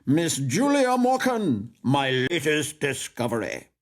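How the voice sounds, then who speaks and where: A man's voice: on the high side, huge pitch swings, slow, voice pushed up, exaggerated voice. Guy doing a hearty teasing party gent from a story, bit of a showman. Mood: showy, jovial. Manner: hearty, mock-grand.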